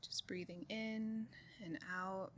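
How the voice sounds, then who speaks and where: A woman leading a guided meditation in a calm, soothing way, giving soft instructions with unhurried pauses. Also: mid-range voice, slight background noise. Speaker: meditation group leader.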